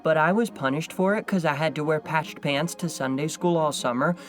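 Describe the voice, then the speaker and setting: An actor playing a mischievous, matter-of-fact schoolboy with no remorse, in an audio drama. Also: male voice, a little high, brisk, faint music behind, close to an everyday voice. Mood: unrepentant.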